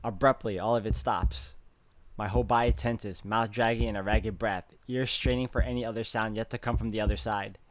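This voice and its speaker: A man's voice: on the low side, steady tone, fairly quick, a little hiss or hum. A man hosting a gaming video, reading story text aloud dramatically and reacting as he plays. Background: game music.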